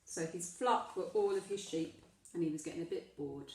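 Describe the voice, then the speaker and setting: A woman reading a children's story aloud with emphatic, deliberate delivery, addressing questions to young listeners. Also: on the low side. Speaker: primary school storyteller. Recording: very echoey room, distant microphone.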